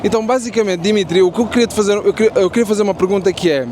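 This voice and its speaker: Portuguese guy doing street interviews, kinda high voice, chatty, lots of ums, faint traffic behind. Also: racing. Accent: European Portuguese. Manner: chatty, friendly, many fillers and restarts. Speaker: street interviewer and content creator. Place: busy city street outdoors.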